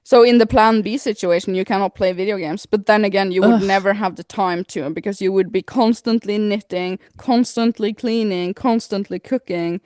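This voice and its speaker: A woman's voice: medium pitch, normal speed, few pauses, a little mellow, no echo. Playful woman chatting, dry close sound. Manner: playful, teasing. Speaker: podcast co-host. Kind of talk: casual chat.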